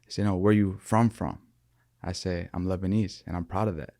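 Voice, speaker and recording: Podcast guest: young man, deep monotone voice, thoughtful and earnest, dry close sound. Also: a little fast, gravelly, dry recording. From Michigan, USA. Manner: earnest, thoughtful, with many restarts and fillers. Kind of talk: reflective interview talk.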